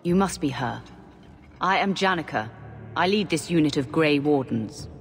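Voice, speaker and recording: A woman's voice: deep voice, lively intonation, normal speed. An actress playing a formal, assured and zealous woman mage who leads a warden order in a fantasy game. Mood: startled, urgent. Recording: long hall reverb, faint ambient noise.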